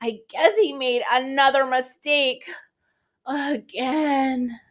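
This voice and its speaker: A woman's voice: high voice, slow pace, pitched above the performer's own voice. A woman voicing a cheerful, polite lady character in a children's storybook, with an exclamatory, sing-song delivery. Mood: exasperated surprise. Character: Miss W, cheerful polite lady letter, weather forecaster.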